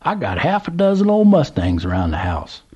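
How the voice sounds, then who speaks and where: A gleeful old Southern gentleman, put on by a storytelling man, whose drawl leaps high and swoops wildly. The voice is husky and a bit muffled, like a lo-fi recording. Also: wildly swooping pitch, normal speed, heavily acted. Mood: excited. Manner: drawling, exclaiming, high and squeaky. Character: excited old Southern man, toothless, chatty.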